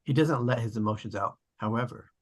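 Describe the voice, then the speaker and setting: Husky man reading stage directions. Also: mid-range voice, a little fast. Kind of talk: scripted narration.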